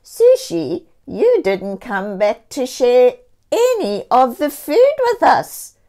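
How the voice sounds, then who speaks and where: A woman's voice: high-pitched, wildly swooping pitch, slow, warm tone, voice pushed up, exaggerated voice. A woman voicing blunt little kitties from a children's storybook, speaking emphatically. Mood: reproachful. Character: group of blunt storybook kitties scolding a friend.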